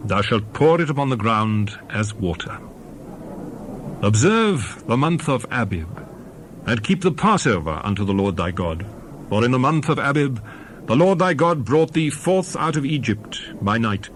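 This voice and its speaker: A man delivers a dramatized scripture reading as a solemn narrator. His mid-pitched voice rises and falls widely, with oratorical, emphatic phrasing. A little noise sits behind the recording. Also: big pitch swings, unhurried. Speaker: Bible narrator.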